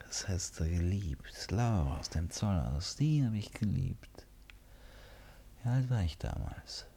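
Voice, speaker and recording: A man's voice: low-pitched, animated, takes it slowly, vocal fry, mellow, husky, heavily acted. An actor playing a brooding, ironic, impulsive man who muses theatrically to himself in a radio play. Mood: wistful, intimate musing. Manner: musing, self-questioning, theatrical. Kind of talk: radio play acting, interior monologue. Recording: studio radio play with faint music.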